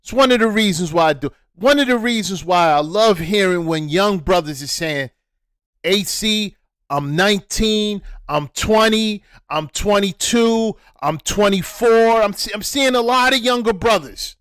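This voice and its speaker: A man speaking with a high-pitched voice delivers reaction commentary. He talks at a deliberate, slow pace, lecturing and repeating his points for emphasis. The sound is dry and close. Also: expressive, a bit bright, smooth, no room sound. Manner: preachy, repetitive, emphatic.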